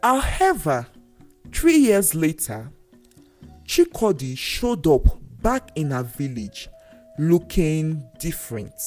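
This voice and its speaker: A storyteller narrating a scripted folktale in a deliberate, dramatic way, with pauses between phrases. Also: mid-range voice, a little mellow. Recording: voice over faint music. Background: faint background music.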